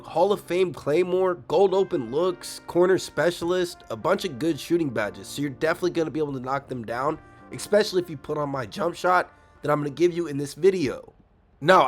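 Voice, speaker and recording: A young man with a slightly raspy voice from a cold, casually breaking down a video game build for his viewers. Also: on the high side. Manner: fast, casual, addresses viewers directly. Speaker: gaming YouTuber. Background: faint background music.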